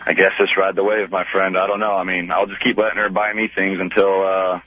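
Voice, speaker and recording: Deep, laid-back guy on phone. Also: flat delivery, fairly quick. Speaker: radio show caller. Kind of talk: casual chat. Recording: tinny phone line on radio.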